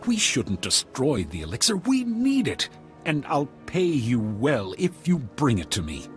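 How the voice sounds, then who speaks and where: An actor playing a scheming, scornful guard captain in a video game, insistent and persuasive. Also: male voice, mid-range voice, wildly swooping pitch, measured pace, faint music behind. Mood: conspiratorial.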